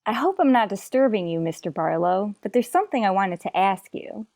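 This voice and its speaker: A woman playing a quick-witted schoolteacher with dry, sardonic asides in a radio comedy. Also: medium pitch, lively intonation, a little mellow, a little hiss or hum, natural voice. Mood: polite, wry. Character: wry, quick-witted female schoolteacher fending off suitor. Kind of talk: old-time radio comedy acting.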